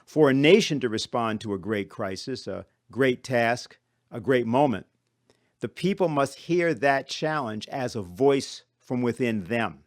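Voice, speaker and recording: A man with a mid-pitched voice delivers a formal, scripted conference speech. His phrasing is measured and rhetorical, and the sound is dry and close. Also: lively intonation, no echo. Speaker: conference speaker.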